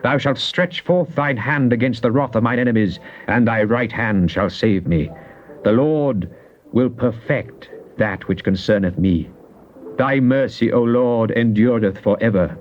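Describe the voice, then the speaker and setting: An actor playing a fervent psalmist, solemn and theatrical in a dramatized Bible reading. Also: male voice, medium pitch, unhurried, lightly acted. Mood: fervent praise. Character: fervent psalmist addressing God in prayer and lament. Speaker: scripture reader. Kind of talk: dramatized Bible reading, British stage delivery. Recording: muffled, noisy old cassette tape.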